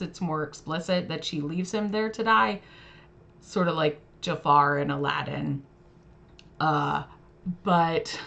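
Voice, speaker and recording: A woman's voice: fairly low pitch, fairly bright tone. A woman hosting a livestream, talking casually and reflectively, sharing her opinions as commentary. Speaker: livestream host reading and discussing fairy tales. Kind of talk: casual spoken commentary to a live audience.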